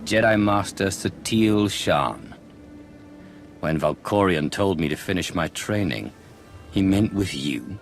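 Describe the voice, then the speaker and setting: A man's voice: deep voice, animated, takes it slowly, soft background music, full character voice. A man voicing a guarded, commanding Sith protagonist in a video game, with a controlled, skeptical, dry delivery. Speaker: video game character voice actor. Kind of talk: scripted dramatic game dialogue.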